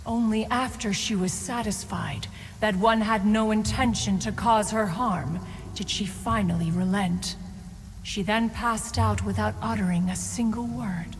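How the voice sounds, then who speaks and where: An actress playing an aloof, proud immortal crane guardian in a fantasy game, speaking formally and archaically. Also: female voice, mid-range voice, steady tone, measured pace, big-room sound, slight background noise, lightly acted, low-quality recording. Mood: solemn, measured. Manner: formal, archaic, lofty, calls herself "one". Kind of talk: scripted fantasy game dialogue.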